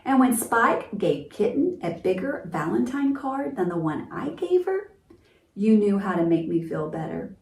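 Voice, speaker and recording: A woman voicing a young male cat from a picture book, earnest and grateful, with an expressive, deliberate read-aloud delivery for children. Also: medium pitch, creaky. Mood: warmly grateful. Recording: roomy, distant mic, faint noise.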